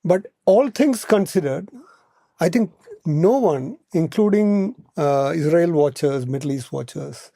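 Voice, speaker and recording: Mellow, higher-pitched Indian-accented man. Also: expressive, a little slow, vocal fry, no echo. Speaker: podcast guest, policy analyst. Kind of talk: explanatory podcast discussion.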